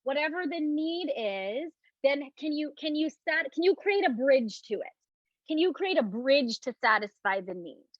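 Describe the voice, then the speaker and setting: A woman's voice: sits high, lively intonation, no echo, lo-fi sound. A woman leading a live online self-love talk, soothing and repetitive at times, chatty and loose at others. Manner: soft, reassuring, repeats phrases slowly for emphasis; loose, chatty with many "like" and restarts. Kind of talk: guided talk with breathing cues and viewer questions.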